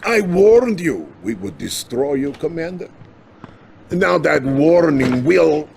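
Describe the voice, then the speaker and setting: Gloating Russian-accented villain, wildly swooping voice. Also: male voice, fairly high pitch, big pitch swings, takes it slowly, vocal fry, background noise, heavily acted. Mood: gloating threat. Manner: pompous, menacing. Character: gloating Soviet villain leader taunting an enemy commander. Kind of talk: theatrical video game cutscene acting.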